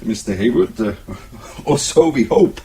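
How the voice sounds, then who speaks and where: An actor playing a suave, sceptical police captain in a radio drama, questioning in a halting, insinuating way. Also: male voice, lowish voice, expressive, dark tone, slight background noise. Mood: sly, sceptical. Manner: halting, insinuating, chuckling interrogator.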